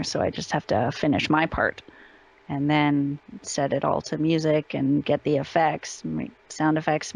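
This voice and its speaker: A woman's voice: low voice, gravelly, darkish tone, husky. A woman who works as a voice actress, chatting casually as an interview guest.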